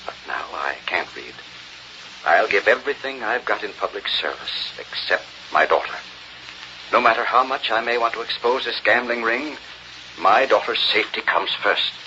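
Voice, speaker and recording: An actor playing a troubled, earnest middle-aged public official and father in an old radio drama, speaking haltingly. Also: on the low side, lively intonation, bright, forceful tone. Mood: resigned, earnest. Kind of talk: 1930s-40s radio drama acting. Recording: dull, noisy old radio recording.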